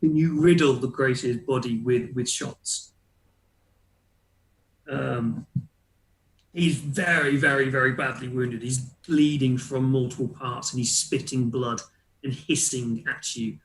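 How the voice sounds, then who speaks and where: A man's voice: mid-range voice, steady tone, slow pace. A man running a tabletop roleplaying game as game master, narrating hesitantly and repeating words as he improvises. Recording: echoey room.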